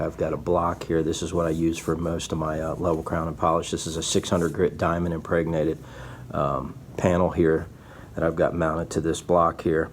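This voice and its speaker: A man in his fifties giving a casual, folksy workshop tutorial, patiently explaining each step as a guitar repair technician. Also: deep voice. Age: 50s. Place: small indoor guitar workshop. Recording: faint background room noise.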